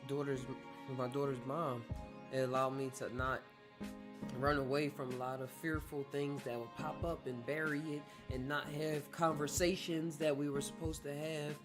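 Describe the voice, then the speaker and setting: A man's voice: on the high side, level tone, normal speed. Young man musing over guitar music. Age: 20s. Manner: rambling and reflective, with frequent self-corrections. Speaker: podcast host. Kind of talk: casual solo podcast talk. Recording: guitar music playing under the voice.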